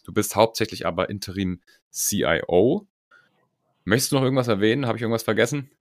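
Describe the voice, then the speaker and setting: A man hosting a podcast interview, chatty and upbeat, with fillers and quick asides. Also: on the low side, dry recording, clean studio sound. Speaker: podcast host.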